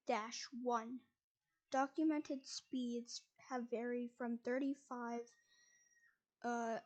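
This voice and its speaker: A woman's voice: sits high, a little mellow. A woman reading a document aloud, stumbling over words and restarting lines as she goes. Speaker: reader.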